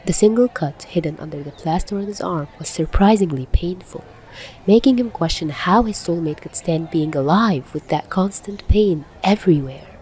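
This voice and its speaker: A woman's voice: lowish voice, lively intonation, moderate pace, dark tone, slight background noise, poor audio. A woman reading scripted fan fiction aloud as a narrator. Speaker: podfic reader.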